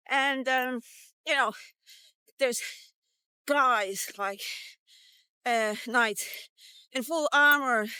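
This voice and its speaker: A woman in her fifties with a fairly high voice tells a story very slowly. She rambles conversationally in casual storytelling style. The sound is dry and close. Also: sharp tone, dry recording. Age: 50s. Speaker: vlogger telling a personal story. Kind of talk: casual spoken storytelling, like a fairy tale. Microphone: walkie-talkie style vlog, recorded close.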